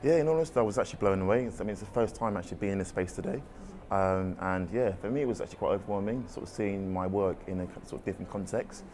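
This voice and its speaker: Fast-talking deep-voiced man. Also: noisy background. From London, England. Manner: rambling, hedging with "sort of" and "kind of". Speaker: artist. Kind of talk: casual interview answers. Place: art exhibition space.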